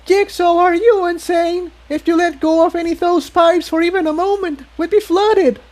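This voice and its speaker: A man's voice: extremely high pitch, steady tone, moderate pace, darkish tone, voice pushed up. A man voicing an alarmed female pony who scolds urgently in a fantasy adventure story reading. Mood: alarmed, scolding. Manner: urgent, exclaiming.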